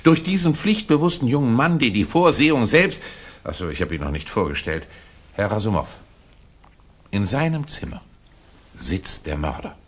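An actor playing a self-important, flustered Russian prince in a 1960s German radio play, insistent and pompous. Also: male voice, medium pitch, very animated, moderate pace, pauses often. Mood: agitated, insistent.

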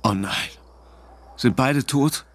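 An actor playing a weary, sympathetic investigator, hesitant and low-key, in a German radio drama. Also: male voice, slow pace. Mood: sleepy, disappointed. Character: weary, sympathetic male investigator woken early.

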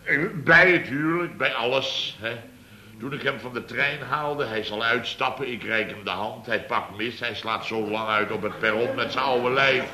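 Sharp, blustering boss. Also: male voice, medium pitch, moderate pace, roomy sound. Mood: storytelling, amused. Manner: emphatic storyteller, repeats himself, sardonic. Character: blustering, exasperated male boss of a small building firm. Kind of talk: 1970s radio comedy acting. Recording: noisy vintage radio recording.

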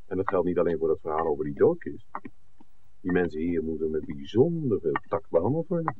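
An actor playing a shrewd, dryly teasing film scout in a 1960s radio play, speaking in an articulate, deliberate way. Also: male voice, low voice, lively intonation. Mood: wry, emphatic. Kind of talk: 1960s radio drama acting. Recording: muffled, noisy old radio broadcast recording.

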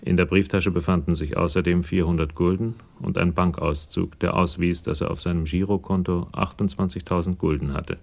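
A man's voice: low voice, steady tone, fairly quick, gravelly, few pauses, a little mellow. A man reading scripted narration for a radio crime drama.

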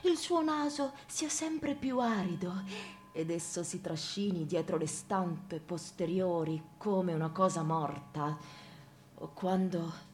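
A woman's voice: on the low side, slow pace. A woman playing a grave, severe spinster, reciting solemnly and measuredly in literary radio theatre, at times near a whisper. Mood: solemn, hushed. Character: grave, severe spinster resolved on a mercy killing.

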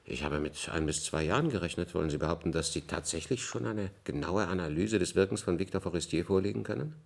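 A man's voice: low-pitched, quick pace, creaky. An actor playing a stern, authoritative male official in a German radio play, speaking formally and with cold control. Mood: sharp, skeptical. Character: stern male state official rebuking a subordinate investigator.

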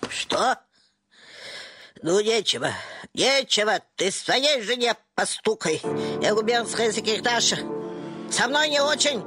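A woman's voice: a little high, expressive, slow, pauses often. An actress playing a stubborn, whining old petitioner woman in a radio play, folksy and repetitive, wheedling and scolding. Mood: defiant, scolding. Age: older. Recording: music behind the voice.

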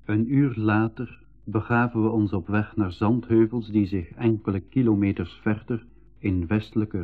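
Eager sceptical brother in an 80s radio adventure, clear storyteller, muffled old recording. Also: male voice, fairly low pitch. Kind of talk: 1980s educational radio drama. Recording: dull 1980s radio recording.

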